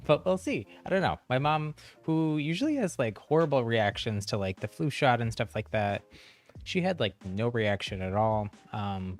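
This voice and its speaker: A man hosting a livestream, chatting casually to viewers in a rambling, giggly way. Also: mid-range voice. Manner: rambling, frequent giggles mid-sentence. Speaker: live streamer and channel host.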